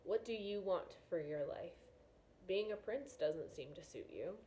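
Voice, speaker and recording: A woman's voice: lowish voice, expressive, measured pace, noisy background. Reserved, wistful king and father voiced by a woman. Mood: earnest.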